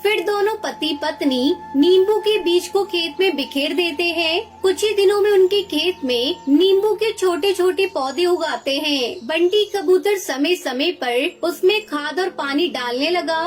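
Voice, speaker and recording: A woman's voice: extremely high pitch, measured pace, hardly any pauses. A woman reading scripted storybook narration for a cartoon as the narrator.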